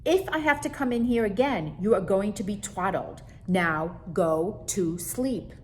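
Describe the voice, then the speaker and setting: A woman's voice: medium pitch, unhurried, a little hiss or hum, natural voice. A woman voicing an exasperated monster mother ordering her child to sleep. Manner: loud, fed up.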